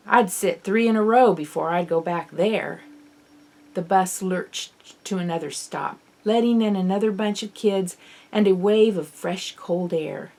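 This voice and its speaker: A woman author reading her own children's novel aloud in the first person, as expressive, dramatic storytelling. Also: mid-range voice. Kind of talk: audiobook narration of children's fiction, first person.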